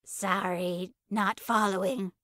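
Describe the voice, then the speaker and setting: A woman's voice: mid-range voice, fairly even intonation, slow pace, fairly bright tone, no room sound, clean studio sound. A woman playing a laid-back, spacey giver of muddled mystical advice in audio fiction, nonchalant and rambling. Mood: puzzled, musing.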